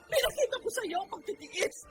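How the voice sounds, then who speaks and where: A woman's voice: extremely high pitch, sing-song, a little fast, over loud music. A woman playing an anguished, upright mother in a Filipino radio drama, with a Filipino accent. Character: anguished, upright mother scolding her child.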